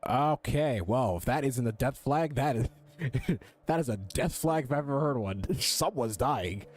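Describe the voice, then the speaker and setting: A man's voice: mid-range voice, expressive, unhurried, darkish tone, a little hiss or hum. A young man giving casual playthrough commentary as a gaming streamer, blunt and slangy, swearing and repeating his phrases. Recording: game music faintly behind voice.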